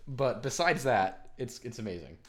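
A man's voice: mid-range voice, expressive, normal speed. A young man from Denmark speaking as an interview guest, laid-back, swearing casually and stumbling on his starts. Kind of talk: casual chat.

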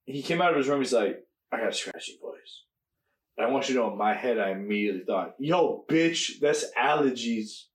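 A young man co-hosting a podcast, telling stories in casual chat, quick and animated and swearing freely. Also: mid-range voice. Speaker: podcast co-host. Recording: echoey room.

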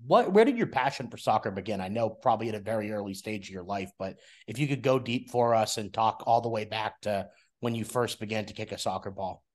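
A man's voice: fairly low pitch, a little fast. A young man hosting a soccer podcast, chatting casually with a guest, with friendly rambling talk full of fillers and restarts. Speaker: podcast host. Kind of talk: casual interview chat.

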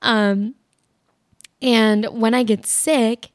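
A young woman with a somewhat high, raspy voice talks on her own podcast, wandering from thought to thought with constant "like"s. She sounds tired, recovering from being sick. The sound is dry and close. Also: fairly even intonation, extremely slow, dry recording. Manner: rambling, filler-heavy, lots of "like". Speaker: podcast host. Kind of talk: casual solo podcast chat.

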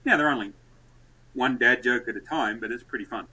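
A loud, talkative man with a bright, forceful tone. He rambles through stories and tosses in dad jokes as he goes. His pitch sits in an ordinary middle range. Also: a little fast, slightly rough, rough recording. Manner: loud, joke-telling, rambling. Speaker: podcast guest, entrepreneur. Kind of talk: lively conversational storytelling.

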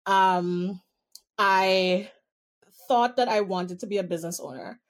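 A woman's voice: medium pitch, slow. A woman from Trinidad with a Trinidadian accent, a guest telling personal stories in an interview in a candid, amused way and drawing out key words.

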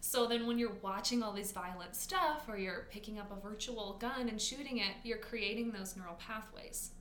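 A chatty young woman co-hosting a casual podcast, her bright, forward voice filling an echoey room. She rambles through ideas with fillers and easy laughter, over a faint hum of ambience. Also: mid-range voice, normal speed. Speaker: podcast co-host. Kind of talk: casual chat.

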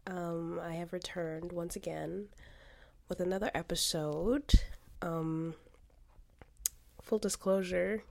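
A woman's voice: on the low side, steady tone, slow, creaky, pauses often, darkish tone. A young woman hosting a casual solo podcast, congested from a cold, rambling with many fillers and restarts. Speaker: podcast host.

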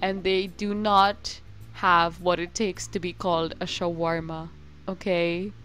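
A woman's voice: on the low side, little pitch movement, measured pace, fairly bright tone, faint music behind. Giggly young woman ranting playfully. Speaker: podcast co-host. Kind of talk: casual chat.

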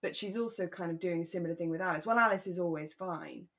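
Female podcast co-host, slightly low pitch, agreeable and thoughtful in relaxed discussion. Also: fast talker. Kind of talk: relaxed discussion of a radio drama.

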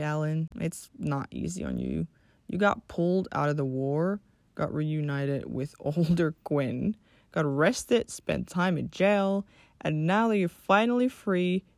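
A caring, placating friend in an audio drama, acted by a woman, reasons gently and a little hesitantly. The voice is slightly low but very animated in pitch, recorded dry. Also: no room sound.

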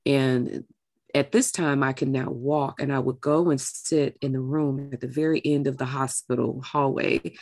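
Low-pitched, creaky-voiced woman hosting. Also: darkish tone, dry recording. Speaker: interview show host. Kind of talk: candid heartfelt conversation.

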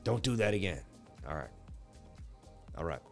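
Low scratchy-voiced man losing his voice. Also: moderate pace, vocal fry, soft background music. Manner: animated, chatty, talks to live viewers. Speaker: crypto market livestream host. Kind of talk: live chart analysis commentary.

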